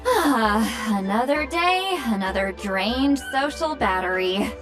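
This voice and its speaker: Aloof young shaman woman, high voice, animated pitch, curt, grumpy, game dialogue over music. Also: takes it slowly. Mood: weary. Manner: curt, haughty, grudging. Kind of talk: video game voice acting.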